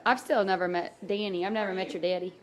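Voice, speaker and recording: A woman's voice: medium pitch, steady tone, fast. A woman casually asking questions and chatting at a party. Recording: busy party crowd behind voice.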